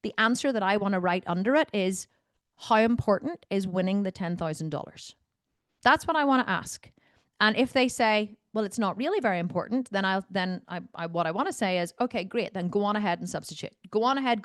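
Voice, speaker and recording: A woman's voice: medium pitch, lively intonation, brisk, dry recording, clean studio sound. A woman working as a fitness coach, talking bluntly and insistently on a video call, repeating phrases for stress. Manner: blunt, no-nonsense.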